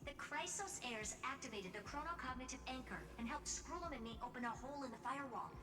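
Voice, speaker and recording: A woman voicing an impatient, brisk young genius. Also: high voice, lightly acted. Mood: urgent. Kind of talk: game voice acting. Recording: distant, echoey, with game music.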